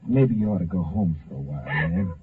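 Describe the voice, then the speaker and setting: Lively highway patrolman, old radio. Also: brisk. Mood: subdued, helpful. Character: plainspoken male highway patrolman, helpful lawman sidekick. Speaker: supporting actor. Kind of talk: 1950s radio drama acting. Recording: narrow muffled 1950 radio recording.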